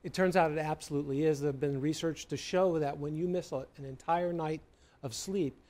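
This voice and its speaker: A man's voice: fairly high pitch, dark tone. A man with an American accent explaining things as a medical expert in an interview.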